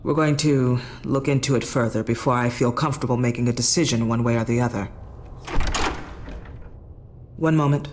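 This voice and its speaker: A man's voice: mid-range voice, steady tone, fast, a little mellow, slight background noise, natural voice. A man playing a stern, suspicious, authoritative asylum doctor in a video game drama. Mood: official.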